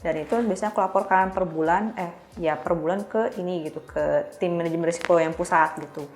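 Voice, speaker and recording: A woman's voice: on the low side, steady tone, brisk, darkish tone. A woman with an Indonesian accent explaining her work in casual, colloquial interview talk, step by step with examples. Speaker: podcast guest, cybersecurity risk officer.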